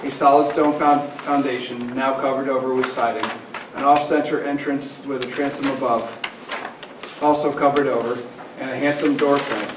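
A man reading a formal letter aloud at a town meeting, stumbling and repeating phrases now and then. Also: medium pitch. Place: large indoor municipal meeting room. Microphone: far camera microphone. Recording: distant, echoey meeting room with background noise.

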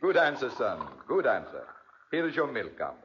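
An actor playing a proud, honor-bound Corsican farmer and father in a 1940s radio drama, speaking in a solemn, deliberate way. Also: medium pitch, sing-song, a bit bright, a little hiss or hum. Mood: firm, fatherly. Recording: dull, band-limited 1940s radio recording.

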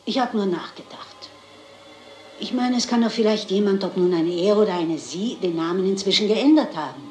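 A woman's voice: medium pitch, lively intonation, normal speed, darkish tone, echoey room, faint music behind, natural voice. A woman playing a shrewd, composed elderly lady detective, polite and inquisitive, in a German crime drama. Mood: shrewd, businesslike.

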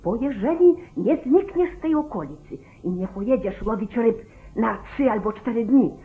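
An actress playing a bossy, nagging, shrewd sheriff's wife in a 1940s radio drama. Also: female voice, fairly high pitch, animated, moderate pace, lightly acted. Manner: commanding, insistent. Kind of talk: 1940s Polish radio play acting. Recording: tinny, band-limited old 1949 radio recording.

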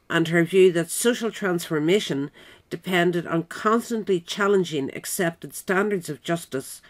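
A woman narrating a written biographical essay in a measured, formal way. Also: fairly low pitch. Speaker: narrator, reader.

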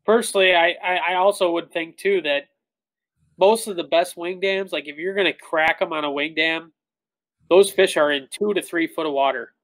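Man, 30s, fairly high voice, casual explainer, fillers, dry webcam sound. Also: normal speed, no room sound, low-quality recording. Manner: relaxed, explanatory, frequent "like" fillers. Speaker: guest, tournament bass angler. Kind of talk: casual interview talk. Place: indoor room with shelves of tackle. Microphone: webcam on a video call.

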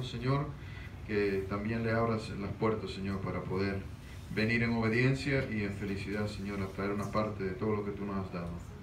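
Low-voiced man praying fervently in church. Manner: fervent prayer, repeats "Señor" constantly. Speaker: church member leading the offering prayer. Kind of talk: spoken prayer. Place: small church, indoors. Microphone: handheld mic from a far camera. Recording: echoey room, distant, noisy.

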